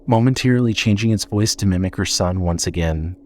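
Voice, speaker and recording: A man's voice: lowish voice, a little fast, very noisy. A man narrating a scripted horror story with dramatic storytelling delivery.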